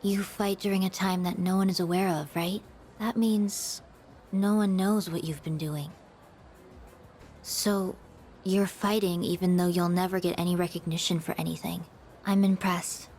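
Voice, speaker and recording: A woman voicing an aloof, quietly curious, melancholy young woman in a video game, speaking in a soft, detached, deliberate way. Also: on the low side, steady tone, soft background music. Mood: curious, earnest. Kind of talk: English video game voice acting.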